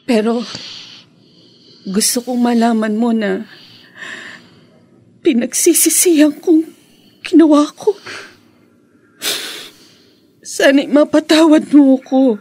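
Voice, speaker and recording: A remorseful former lover in radio drama, pleading hesitantly. Her voice is high and husky, and she speaks very slowly. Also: mellow. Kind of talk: Filipino radio drama acting.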